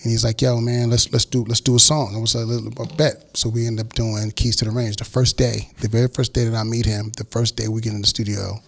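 Gravelly man telling a story. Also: medium pitch, brisk, hardly any pauses. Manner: animated storyteller acting out quotes. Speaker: guest record producer. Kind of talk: casual storytelling interview.

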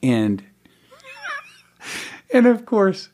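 A man in his forties chatting as a guest, animated and laughing often, with frequent restarts. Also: sits high, very slow. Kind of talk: casual conversation.

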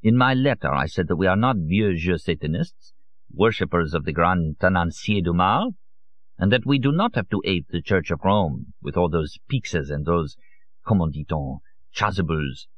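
A man voicing a shrill, almost hysterical occultist with a thick French accent. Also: moderate pace, no echo, highs cut off. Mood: indignant. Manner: excitable, indignant, sprinkles French words.

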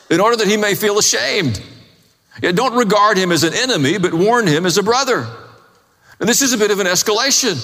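A man's voice: sits high, sharp tone. A man preaching a sermon as a pastor, explaining scripture in a plain-spoken way, recorded in a reverberant hall. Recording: long reverb of a large hall.

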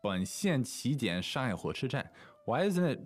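A man's voice: mid-range voice, sing-song, normal speed, soft background music. A man co-hosting a language lesson in a conversational style, asking questions and recapping in simple steps.

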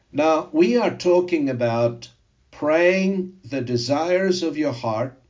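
An older man whose first language is Greek, preaching a sermon and telling personal testimony in a slow, emphatic way. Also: medium pitch, darkish tone, lo-fi sound. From Zimbabwe.

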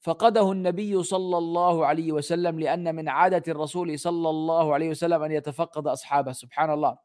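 A man's voice: fairly high pitch, steady tone, darkish tone. A man giving an Islamic religious lesson as a teacher, moving between Arabic text and English translation. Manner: halting English delivery, rapid fluent Arabic recitation.

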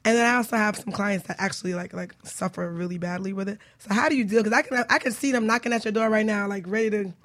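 A woman's voice: mid-range voice, fairly even intonation, fast, hardly any pauses, a bit bright. A woman co-hosting a radio show, chatting casually and asking questions in a playful, teasing, informal way. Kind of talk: casual chat, interviewing.

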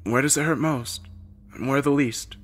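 A man voicing a resolute woman nurse in an audio drama, urgent, practical and slightly halting. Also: mid-range voice, fairly bright tone, pitched above the performer's own voice, lightly acted. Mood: urgent questioning. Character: brisk, resolute female nurse taking charge of surgery. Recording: music bed under the voice.

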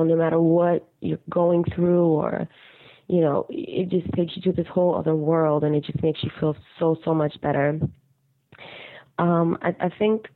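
A woman leaving a casual, rambling fan voicemail, hesitant with many ums, raspy from a cold. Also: on the low side, no echo. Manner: hesitant, frequent ums and pauses. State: raspy from getting over a cold. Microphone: phone. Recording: muffled phone voicemail, narrow band.

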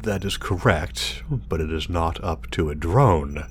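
A man's voice: low voice, unhurried, slight background noise. An actor playing an irritable, obsessive scientist muttering hesitantly to himself and his drone in an audio drama. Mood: wary, stern. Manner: muttering, self-reassuring, hesitant, swearing.

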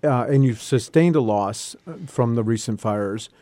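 Dark-voiced, measured male guest. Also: mid-range voice, dry recording. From Boston area, United States. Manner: measured, explanatory. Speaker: guest, real estate attorney. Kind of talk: explaining on a radio talk show.

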